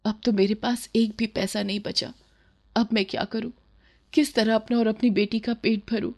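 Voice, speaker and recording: A woman's voice: on the high side, fairly even intonation, moderate pace, a little mellow. Weary, humble widowed mother voiced by a woman. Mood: despairing. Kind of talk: storybook dialogue in Hindi.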